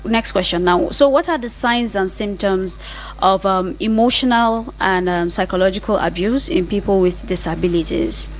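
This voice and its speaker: A woman's voice: mid-range voice, normal speed, slight background noise, rough recording. A woman with a Nigerian accent hosting a conversational radio interview, listening attentively and murmuring short agreement.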